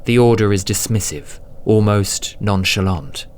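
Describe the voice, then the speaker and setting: A man narrating a dramatic scripted audiobook in a suspenseful, deliberate manner, sometimes hushed. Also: fairly low pitch, slight background noise.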